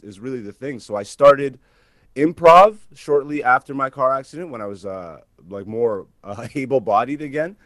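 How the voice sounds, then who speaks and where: A man in his thirties with a mid-pitched voice. He is a comedian guest who talks casually and animatedly, peppering stories with filler phrases, in a close dry recording. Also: fairly bright tone, no echo. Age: 30s. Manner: informal, peppered with like and you know. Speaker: stand-up comedian, interview guest.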